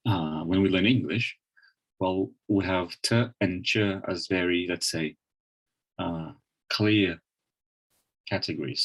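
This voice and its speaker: A Brazilian man speaks with a Brazilian Portuguese accent in a low, husky voice. He gives an online lecture in a thoughtful way, with many restarts and fillers, into a computer microphone. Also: rough recording. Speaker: webinar presenter.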